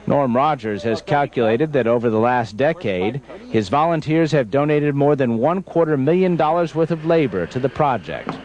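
A man's voice: mid-range voice, expressive, moderate pace, darkish tone. A man working as a news anchor, narrating reports and interviewing guests in a broadcast style.

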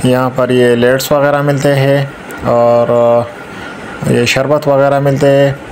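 A young man from Pakistan narrating a casual vlog at an outdoor market, talking in a halting way with many fillers and pauses. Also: mid-range voice, flat delivery, a little hiss or hum, low-quality recording. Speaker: vlogger. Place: outdoor market, then indoors at end. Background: background music.

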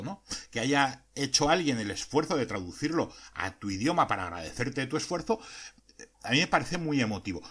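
A man's voice: medium pitch, fairly bright tone, slightly rough. Earnest Spanish-accented man hosting a podcast. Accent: Spain. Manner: earnest, reflective, with frequent hesitations. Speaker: podcast host. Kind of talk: explanatory podcast monologue.

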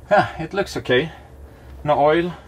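Swedish man explaining boat work. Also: fairly high pitch. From Sweden. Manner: matter-of-fact, explaining boat work step by step. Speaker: sailing vlogger. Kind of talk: casual vlog narration. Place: on a sailboat at sea and in harbor. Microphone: handheld camera on a selfie stick. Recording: loud wind and engine noise. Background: boat engine and water.

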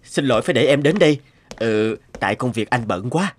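An actor voicing a suave, polite, smooth-talking boyfriend in a cartoon. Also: male voice, medium pitch, animated, fairly quick, natural voice. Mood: courteous. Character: suave, courteous boyfriend posing as a boss. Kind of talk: cartoon dialogue.

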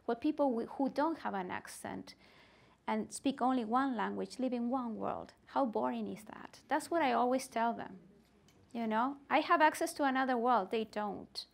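A woman's voice: a little high, moderate pace, creaky. A middle-aged woman presenting at a teacher workshop, talking animatedly and with emphasis in a meeting room. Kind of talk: workshop discussion.

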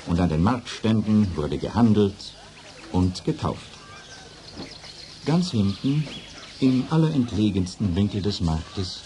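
A man's voice: sits low, dark tone. A man narrating a children's radio play as a storyteller. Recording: faint noise of vintage record.

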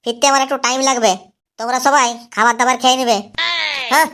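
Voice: sits high, steady tone, quick pace, background noise, close to an everyday voice. An actor voicing an irritable, lazy cartoon husband who grumbles, swears and brushes things off in comic style. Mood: irritated, dismissive. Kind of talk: exaggerated comedy cartoon voice acting.

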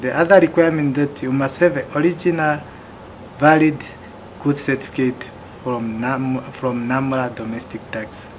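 A man in his thirties from Namibia, calmly going through customs warehouse rules one by one, with some restarts. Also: medium pitch, normal speed, a little hiss or hum, low-quality recording. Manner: plain, methodical, listing items with occasional restarts. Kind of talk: explanatory presentation. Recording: music playing behind.

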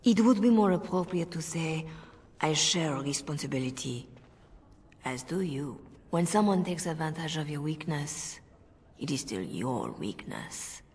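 Low-voiced revered mother, composed. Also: very animated, darkish tone, slight background noise, heavily acted. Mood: regretful, frank. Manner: measured, solemn. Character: composed, earnest Orlesian revered mother, gently persuasive. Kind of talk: video game cutscene acting. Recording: long reverberant hall echo.

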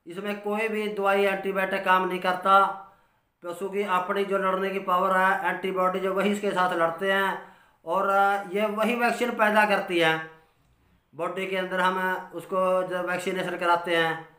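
A man, a dairy farmer giving informal advice from notes in halting, explanatory phrases. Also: high-pitched, little pitch movement, bright, forceful tone. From Haryana, India. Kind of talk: informal instructional talk from notes. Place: small curtained room at home. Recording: roomy indoor echo.

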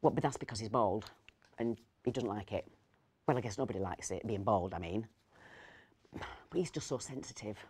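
A woman's voice: rumbling low, normal speed, pauses often, darkish tone, a little hiss or hum. Actress as fussy kindly woman, middle-aged, hesitant, digressive, dramatic storytelling monologue. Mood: concerned, subdued. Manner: hesitant, digressive, self-correcting. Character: rambling, fussy, kindly woman host of Christmas dinner. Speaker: actor reading a story. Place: indoors, seated in an armchair.

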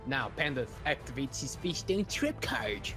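A man with a mid-pitched voice calls a card game like a mock announcer, hamming it up and joking. Music plays clearly behind his commentary. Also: very animated, a little slow. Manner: hammy, joking. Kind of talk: mock announcer play-by-play with casual banter.

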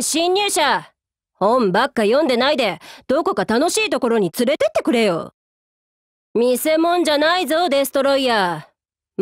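A sadistic female android commander for an anime game. The voice is fairly high and swings widely, unhurried and close in a dry recording. The delivery is mocking and arrogant, with rough, taunting menace. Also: no room sound. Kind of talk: anime game character voice lines.

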